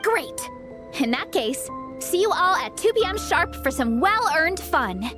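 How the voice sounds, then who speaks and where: A peppy, playful young woman in a video game talks in a very high voice. Her lines are perky and animated, with faint music behind. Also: measured pace, heavily acted. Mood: brisk, cheerful. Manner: perky, expressive.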